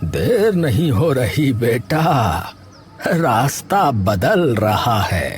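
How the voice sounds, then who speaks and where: A man playing a calm, knowing old seer in an animated story, speaking in a deliberate, prophetic manner. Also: medium pitch. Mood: calm, ominous. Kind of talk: Hindi animated story dialogue. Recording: loud background music.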